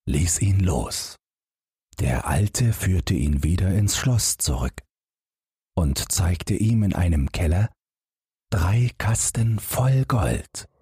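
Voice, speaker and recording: Casting: male audiobook narrator for fairy tales, low husky voice, unhurried, expressive, close and dry. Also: dry recording. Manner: expressive storyteller. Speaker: audiobook narrator and podcast host. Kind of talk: fairy-tale narration, scripted.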